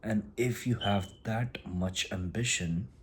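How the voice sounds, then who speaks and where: A man's voice: on the low side, takes it slowly, breathy edge. A man who speaks English as a second language, talking through a casual motivational monologue and often repeating and restarting his phrases. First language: not English. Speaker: self-improvement vlogger.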